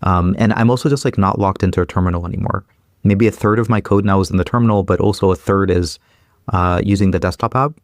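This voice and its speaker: A man's voice: low-pitched, dry recording, clean studio sound. A man in his thirties, originally from Ukraine, speaking as an interview guest in casual chat, with lots of fillers and restarts. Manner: quick, many fillers and repeated restarts.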